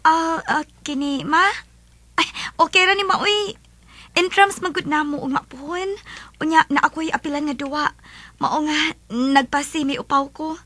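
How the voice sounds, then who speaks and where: A woman with a Filipino Cebuano accent playing a sweet, lovestruck high school girl in a radio drama, girlish and emotive. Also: moderate pace, bright, forceful tone, a little hiss or hum. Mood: casual. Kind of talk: radio drama acting and first-person narration. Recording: dramatic music behind the voice.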